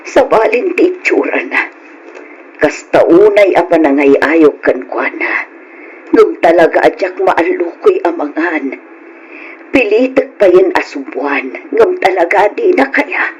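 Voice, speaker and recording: A woman's voice: fairly low pitch, lively intonation, a little slow, rough recording. An actress playing a grieving elderly mother in an old Ilocano radio drama, speaking in a plaintive, pleading, emotional way. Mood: weary, worried.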